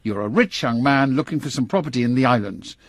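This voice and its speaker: A man playing a brisk, authoritative older British intelligence chief in a radio drama, with an English accent and a clipped, commanding delivery. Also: medium pitch, expressive, no echo, clean studio sound, close to an everyday voice. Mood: commanding, intent.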